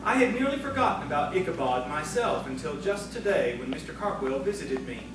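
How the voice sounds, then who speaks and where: Reflective frontier townsman for audio theatre, played by a man: earnest, measured, highish voice, distant, over music. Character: earnest frontier townsman narrating from his journal. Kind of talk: radio-play narration and acting. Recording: echoey, distant stage recording with music.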